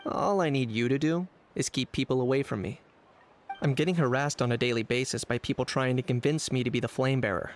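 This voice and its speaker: A man playing a cool, pragmatic young hunter for hire in scripted game dialogue, measured and matter-of-fact. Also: medium pitch, slight background noise, close to an everyday voice. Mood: cool, businesslike. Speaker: game character voice actor. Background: game background music.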